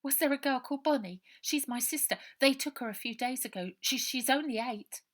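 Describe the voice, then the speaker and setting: A woman's voice: sits high, brisk, pitched above the performer's own voice, natural voice. Woman voicing an anxious, urgent English girl. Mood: urgent, worried. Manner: direct, questioning. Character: anxious, urgent girl searching for her little sister.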